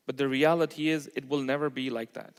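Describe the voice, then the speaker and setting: A man's voice: mid-range voice, steady tone, fairly quick, clean tone. A man giving an informal religious lecture to a congregation, explaining step by step and often checking in with short tag questions. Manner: explanatory, checks in with "okay" and "right". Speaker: Islamic lecturer at a community mosque. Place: large reverberant indoor hall. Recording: echoey hall with long reverb.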